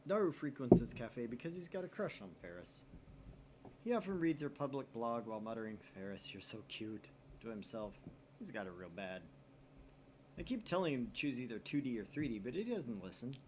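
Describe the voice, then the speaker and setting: A man's voice: medium pitch, sing-song, brisk, a little mellow, a little hiss or hum. A man co-hosting a game stream, reading visual novel narration aloud with joking asides. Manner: jokey, reads narration with dry asides.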